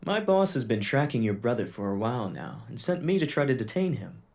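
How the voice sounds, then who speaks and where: A man's voice: medium pitch, sing-song, brisk, vocal fry, darkish tone. A young man playing a reassuring, polite agent in his mid-twenties in an audio drama. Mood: calm, reassuring.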